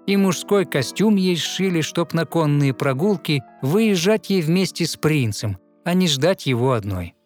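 A man narrating a fairy tale in verse, with a lyrical, rhythmic and expressive scripted delivery. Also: a little high, measured pace, hardly any pauses, soft background music.

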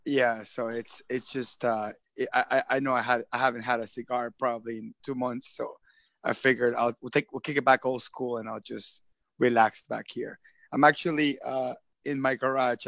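A man's voice: medium pitch, gravelly, a bit bright, no room sound. A man co-hosting a podcast from the edge of his garage over a phone headset, laid-back and rambling. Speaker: podcast co-host. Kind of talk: casual live-stream chat. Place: edge of a garage, outdoors nearby.

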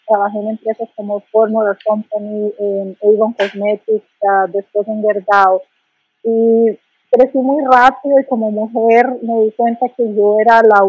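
A woman's voice: mid-range voice, husky, dry recording, highs cut off. A middle-aged woman from Colombia with a Colombian accent, telling her story as a podcast guest, thoughtful with many restarts and fillers.

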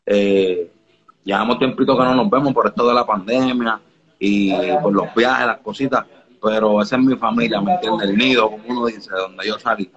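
A man's voice: fairly low pitch, steady tone, normal speed, a crowd in the background. Laid-back man on dull audio. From Dominican Republic. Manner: laid-back, keeps asking "you get me?". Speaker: music producer guest. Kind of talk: casual interview chat.

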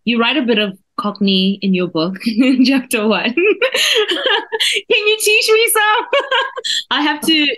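A woman's voice: sits high, animated, slow, bright, forceful tone. Bubbly South African woman interviewer. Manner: bubbly, laughs often. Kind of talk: casual interview chat.

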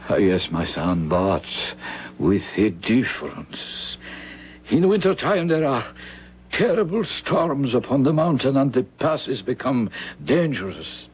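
Slow, husky, solemn old priest. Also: male voice, mid-range voice, sing-song, exaggerated voice. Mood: ominous. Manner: solemn, deliberate storytelling with dramatic pauses. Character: grave, kindly old village priest telling dark tales. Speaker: actor in a radio drama. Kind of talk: old-time radio drama acting. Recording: dull vintage radio broadcast recording.

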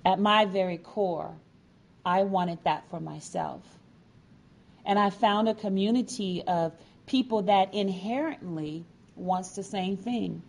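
A woman giving a prepared, inspirational personal story in earnest, deliberate phrases with pauses. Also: medium pitch, vocal fry. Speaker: featured storyteller, sign language educator and advocate.

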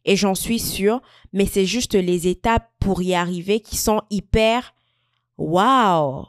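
Reflective young woman, smooth clear voice. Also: medium pitch, takes it slowly, no room sound, studio quality. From Equatorial Guinea. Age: 20s. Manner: thoughtful, searching, many fillers and restarts. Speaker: vlogger. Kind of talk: reflective personal talk to camera.

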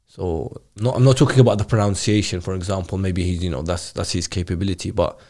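A man interviewing a guest in casual conversation, talking haltingly with many restarts and fillers. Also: low-pitched, steady tone, warm tone.

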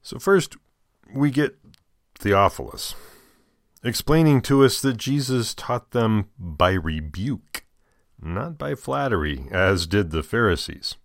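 Low-voiced American man reading scripture. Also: lively intonation, slow pace, no room sound, studio quality. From United States. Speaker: deacon, host of a scripture commentary program. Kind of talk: devotional scripture reading with informal commentary.

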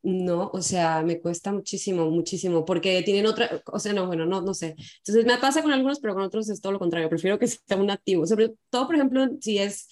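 A woman's voice: medium pitch, quick pace, hardly any pauses, a little mellow. A woman hosting a casual chat, who hesitates and trails off as she talks.

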